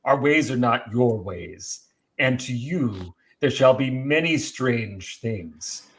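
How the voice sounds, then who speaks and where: A man playing a commanding, possessive vampire count in a radio play, speaking in a theatrical, deliberate, declamatory way. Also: mid-range voice, animated, fairly bright tone. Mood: imperious. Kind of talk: live comedic radio play reading.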